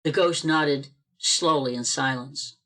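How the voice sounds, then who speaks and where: A man narrating an amateur radio play in a steady storytelling manner, recorded over a video call. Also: a little high, slow pace. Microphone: home video call mic.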